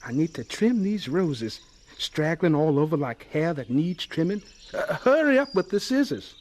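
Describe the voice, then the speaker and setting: Earnest, high-voiced Southern teenage boy. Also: sing-song, moderate pace, a little mellow, a little hiss or hum. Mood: cheerful. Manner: polite, earnest. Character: earnest, gentle, eager sixteen-year-old Southern boy. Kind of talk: radio drama acting.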